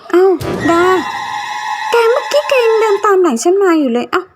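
A woman's voice: extremely high pitch, big pitch swings, brisk. A woman playing an anxious young woman haunted by her dead roommate in a cartoon ghost story, speaking dramatically with exclamations and repetition. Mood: worried. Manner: dramatic, exclaiming, repeats phrases when panicked. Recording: music behind the voice.

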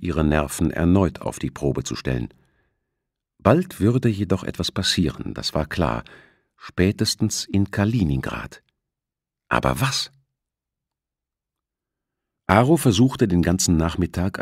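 Male narrator, deep voice, dry close sound, scripted thriller audiobook reading. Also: dry recording.

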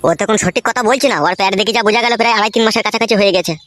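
Man as an urgent, fast-talking young villager. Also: high voice, steady tone, fairly bright tone, slight background noise. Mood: aggrieved. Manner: urgent, deferential to elders. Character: agitated young village man, aggrieved older brother. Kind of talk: comic cartoon dialogue. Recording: music under the voice.

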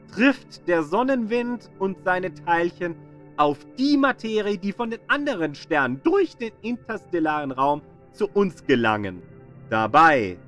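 A man narrating a scripted astronomy audio guide in a deliberate, dramatic manner, repeating phrases for emphasis. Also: fairly high pitch, a bit bright. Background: background music.

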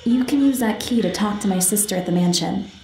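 A woman voicing an eerie, playful young ghost girl in a video game, possessive and teasing, speaking haltingly. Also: mid-range voice, dark tone, slight background noise, lightly acted. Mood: teasing, casual. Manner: halting, teasing, giggly, talks to unheard companions. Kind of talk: video game character acting. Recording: echoey room, music underneath. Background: game music.

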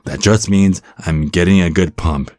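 A man's voice: low-pitched, moderate pace, a little mellow, no echo, studio quality, faint music behind, natural voice. A man voicing a supportive, flirty werewolf gym bro in an ASMR roleplay, with an encouraging, stammering manner. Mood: friendly, coaching. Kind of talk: ASMR roleplay, one-sided conversation.

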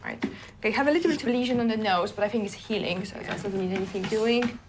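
A woman's voice: medium pitch, fast, background noise. A young adult woman vet, chatty, explaining an exam step by step in a clinic room.

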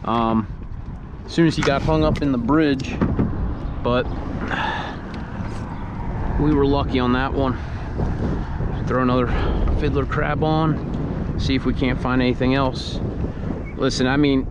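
A man's voice: medium pitch, fairly even intonation, measured pace, long pauses, highs cut off. A man narrating casually while fishing from a kayak on open water, muttering to himself between catches, recorded on a head-mounted camera. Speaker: fishing vlogger. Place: kayak on open water under a bridge, windy. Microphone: head-mounted action camera. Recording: wind noise on an outdoor camera mic.

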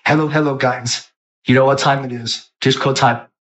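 A male action-game character with a medium-pitched voice a man doing it through a voice changer. The sound is AI-processed. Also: fairly even intonation, normal speed, fairly bright tone. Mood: upbeat.

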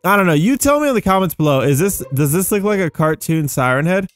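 A young man giving casual, slangy, excitable gameplay commentary to viewers. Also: high voice, fast, clear, resonant tone, no echo, faint music behind. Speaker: gaming video host.

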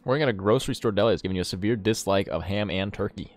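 A man's voice: lowish voice, very fast, faint music behind. A man chatting casually as he hosts a game livestream, jokey and opinionated, on a close mic. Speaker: gaming streamer and host.

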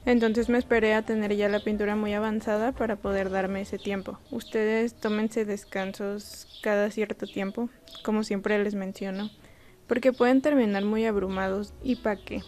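A woman's voice: medium pitch, flat delivery, normal speed, a little mellow. A young woman, casually narrating her painting process in a chatty, self-deprecating voice-over.

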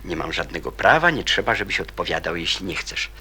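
An actor playing a courteous, perceptive man in a radio drama, husky and politely questioning. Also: low voice, very animated, exaggerated voice. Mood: probing. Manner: polite, measured, gently questioning. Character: courteous, perceptive adult man, calmly probing and considerate. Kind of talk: Polish radio drama acting.

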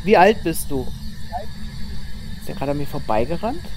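A man's voice: fairly high pitch, very animated, normal speed, a little mellow, soft background music. A man streaming a ghost-hunting game with friends, chatting casually and calling out questions to the ghost. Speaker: gaming streamer. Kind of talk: casual multiplayer gaming chat.

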